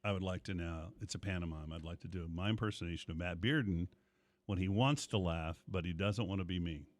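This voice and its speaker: A man's voice: deep voice, fairly quick, no room sound. An American man hosting a morning radio show, bantering casually with co-hosts in a studio.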